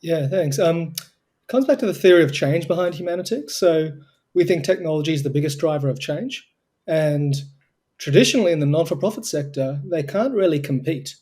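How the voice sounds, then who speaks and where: An earnest young Australian man talks with conviction. His voice sits a little high but sounds warm and relaxed. He explains things fluently. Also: a little fast, slightly rough. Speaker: guest, co-founder of a tech charity. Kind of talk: remote interview answers.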